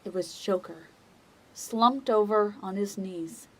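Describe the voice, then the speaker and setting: A woman narrates a scripted drama. Her voice sits at a medium pitch. She takes her time, measured and deliberate in each sentence. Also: a bit bright, poor audio.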